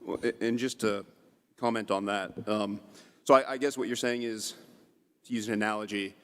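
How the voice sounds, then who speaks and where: A man on a public commission questioning staff politely, in a tentative, halting way. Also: on the low side, steady tone, fairly bright tone, clean tone. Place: public commission meeting room. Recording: echoey hall with long reverb.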